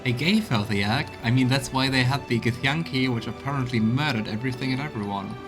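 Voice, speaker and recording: A man's voice: medium pitch, a little fast, over music. A man streaming a game, reading texts aloud and musing on them in gameplay commentary. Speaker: let's play streamer.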